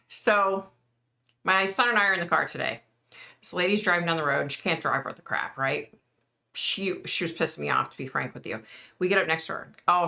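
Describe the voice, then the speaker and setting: A middle-aged woman with a deep voice, hosting a live stream and chatting bluntly with viewers, ranting and opinionated. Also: creaky, bright, forceful tone. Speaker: psychic livestream host. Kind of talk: casual live chat answering viewers.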